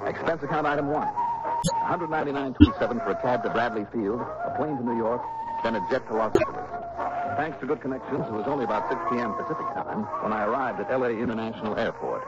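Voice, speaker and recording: A man's voice: mid-range voice, wildly swooping pitch, quick pace, loud music behind the voice. A man playing a brash, wisecracking, suspicious insurance investigator in a 1960s radio detective drama, with a snappy, wry, emphatic delivery. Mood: matter-of-fact narration. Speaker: lead actor and narrator. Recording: muffled, noisy old radio recording.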